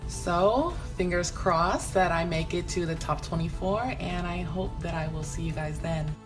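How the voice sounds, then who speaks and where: A young woman gives a personal audition talk as a nail artist. Her voice is fairly low, her delivery earnest and casual, and music plays clearly behind her. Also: a little slow. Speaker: nail artist, contest entrant.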